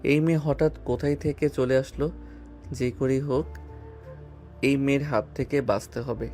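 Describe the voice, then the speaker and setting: A man acts out a harassed young man in a romantic audio drama. His voice is medium-pitched, with an anxious, complaining and pleading manner, over faint background music. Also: steady tone, normal speed, dark tone, lightly acted. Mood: dismayed. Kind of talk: Bengali audio love-story drama.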